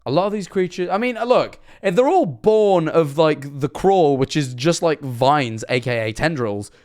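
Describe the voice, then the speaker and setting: A man's voice: a little high, lively intonation, normal speed, darkish tone. Sarcastic British man reacting on stream. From Britain. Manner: sarcastic, joking, sweary. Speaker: livestream host reacting to a video. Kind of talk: casual, off-the-cuff reaction commentary.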